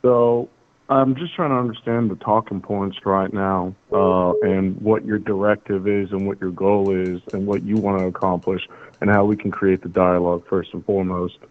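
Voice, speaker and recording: A man with a deep voice speaks as a caller to a live show. He puts his questions politely, in careful, formal wording. His voice comes through small and boxy, like a telephone line. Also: little pitch movement, moderate pace. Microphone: phone call. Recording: thin, phone-like line.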